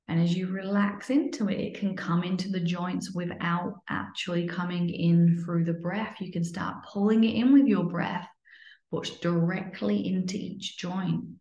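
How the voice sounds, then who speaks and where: A woman leading a guided breathing meditation in a soothing, instructional way, sometimes dropping to a breathy near-whisper. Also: fairly low pitch, a little mellow. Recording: muffled, lo-fi, roomy echo.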